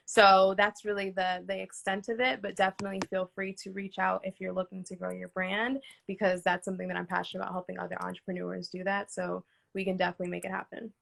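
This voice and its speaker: A woman's voice: mid-range voice, vocal fry, fairly bright tone. A woman entrepreneur and nonprofit founder answering interview questions earnestly, with frequent "um" fillers and "right?" tags.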